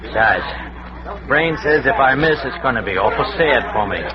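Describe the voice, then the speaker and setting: A man's voice: medium pitch, animated, moderate pace, a crowd in the background, natural voice. An actor playing a cocky, slangy gangster henchman in an old radio crime drama. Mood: businesslike, tense. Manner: slangy tough-guy talk. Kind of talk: 1940s radio crime acting. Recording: dull lo-fi vintage radio recording.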